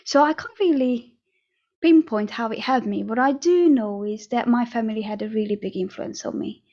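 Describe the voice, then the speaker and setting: A woman talks through her story as an interview guest, earnest and a little halting, with small laughs between thoughts. Her voice sits fairly high. The sound is dull and lo-fi, like a remote call. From Hungary. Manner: earnest, with frequent fillers and soft laughs. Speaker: guest, NLP trainer and hypnotherapist.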